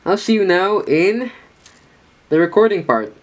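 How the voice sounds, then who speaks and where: Host for a hobby review channel. Slow, unhurried delivery, a little amused, rambling and full of fillers and restarts as they handle the items. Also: mid-range voice, animated. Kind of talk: casual hands-on product review.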